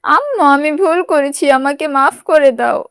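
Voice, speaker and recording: A restless little schoolgirl from a moral cartoon, acted out by a woman, quick to fib. The voice is high and lively, in a dry recording. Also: dry recording. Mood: remorseful.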